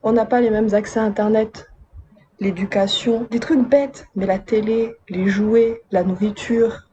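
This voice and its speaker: A woman's voice: medium pitch, fairly even intonation, a little slow, warm tone, dull audio, a little hiss or hum. A woman raised in Côte d'Ivoire and France, giving casual spoken commentary to her viewers with chatty, wry, slangy asides. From Madagascar, raised in Côte d'Ivoire and France. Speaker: video essayist.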